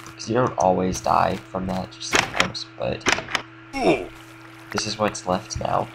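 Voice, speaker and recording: A young man with a slight cold, casually explaining a game build in a relaxed, rambling way with lots of fillers. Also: sits low, a little slow, creaky, over loud music, rough recording. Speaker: game tutorial creator. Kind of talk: casual gameplay tutorial commentary.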